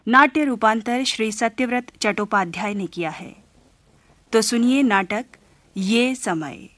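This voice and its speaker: A woman's voice: fairly high pitch, measured pace, fairly bright tone, no echo. A woman reading a scripted introduction as the announcer of a radio play.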